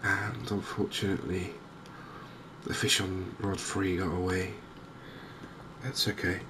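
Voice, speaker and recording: A man giving softly spoken, cozy gaming commentary in a gentle, unhurried, musing way. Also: low-pitched. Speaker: gaming video host. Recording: clear steady ambient background noise.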